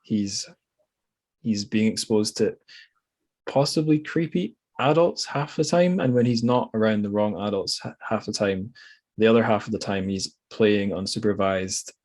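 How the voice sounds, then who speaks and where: Reflective man with a lowish voice, podcast hosting. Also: normal speed, darkish tone. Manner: hesitant, reflective, self-correcting. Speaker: podcast host. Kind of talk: casual literary podcast discussion.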